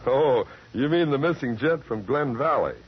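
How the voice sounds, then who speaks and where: An actor playing a friendly, folksy ranch hand tending bar, easygoing and courteous, in 1940s radio acting. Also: male voice, mid-range voice, lively intonation, moderate pace, lightly acted. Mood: affable. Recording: dull old radio recording with hiss.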